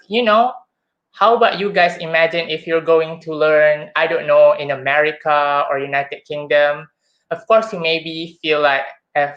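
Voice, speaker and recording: A man's voice: sits high, fairly bright tone, highs cut off. A young man with a Malaysian accent giving a chatty, somewhat hesitant student campaign speech, often calling his audience guys. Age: young adult. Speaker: student election candidate.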